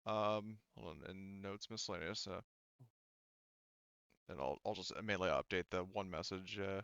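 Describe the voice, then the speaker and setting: A man casually running an improvised tabletop game as game master, halting and rambling as he thinks aloud. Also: deep voice, gravelly, fairly bright tone, clean tone, dry recording, muffled recording.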